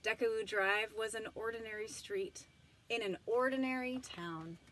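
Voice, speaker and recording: A woman reading a children's storybook aloud with commentary, in an expressive, emphatic storytelling manner. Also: a little high, normal speed, slight background noise. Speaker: storybook reader.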